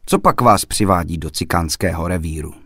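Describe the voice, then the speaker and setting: A man voicing a fierce old female fortune-teller who gives slow, insistent, ominous warnings. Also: low voice, a bit bright, dry recording, studio quality. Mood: menacing. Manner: menacing, insistent warnings, drawn-out slow phrases.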